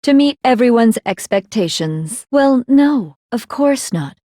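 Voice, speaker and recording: Teenage girl villain, anime game, raised pitch, smug, coldly analytical, condescending, composed explanations, dry sound. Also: lively intonation, measured pace, mellow, no echo. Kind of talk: anime video game character acting.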